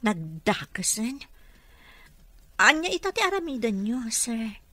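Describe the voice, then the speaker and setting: A woman's voice: on the high side, big pitch swings, slow pace, pushed and bright, no room sound, exaggerated voice. An actress with an Ilocano accent playing a caring, respectful nurse and mother in a radio drama. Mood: concerned.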